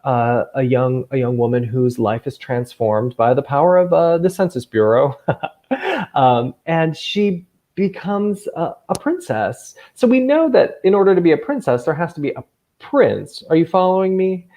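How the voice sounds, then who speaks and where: A man working as a camp counselor and host, chatting to children in an animated, enthusiastic, gushing way. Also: fairly high pitch, moderate pace. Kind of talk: lively chatty hosting for children.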